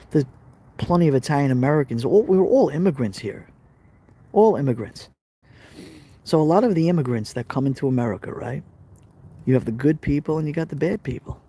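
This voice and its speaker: Mellow-voiced Brooklyn man chatting live. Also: medium pitch, breathy edge. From Brooklyn, New York. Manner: chatty, repeats phrases, talks straight to viewers. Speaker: live stream host. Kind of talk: casual live stream chat reading comments.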